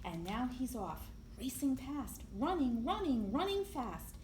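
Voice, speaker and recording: A woman reading a picture book aloud to young children in an expressive, deliberate storytelling manner, with emphasis on the sound words. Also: high voice. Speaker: library storyteller. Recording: echoey room, clear background noise.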